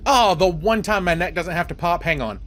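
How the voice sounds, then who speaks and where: A man's voice: high voice, a little fast. A man hosting a horror game, mixing playful, wisecracking commentary with story reading. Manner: animated, wisecracking.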